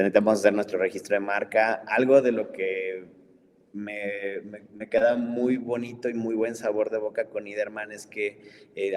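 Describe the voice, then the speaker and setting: A man hosting an online talk in a relaxed, conversational way, with lots of filler words. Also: on the low side. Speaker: webinar host. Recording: long reverb, faint background noise.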